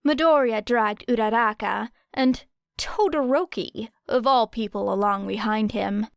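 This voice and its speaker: Slow, clear female audiobook narrator. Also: a little high, no room sound, studio quality. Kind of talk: scripted story narration.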